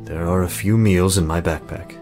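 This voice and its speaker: A man's voice: low voice, fairly even intonation, moderate pace. A man voicing a terse, stoic, cautious warrior in a visual novel, formal and stern in his way of talking. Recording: loud music and rain behind. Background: game music and rainfall.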